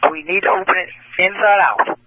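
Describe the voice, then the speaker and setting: A man's voice: high-pitched, a little fast, pushed and bright, dry recording, low-quality recording. A man working as a police officer, speaking terse tactical traffic over a two-way radio.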